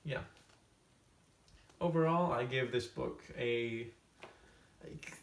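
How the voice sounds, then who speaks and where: A man hosting a comedic storytime video, casually summing up a book to camera with tongue-in-cheek, deadpan asides. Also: medium pitch, slow, gravelly, recorded from a distance. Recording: roomy echo, off-mic, faint hiss.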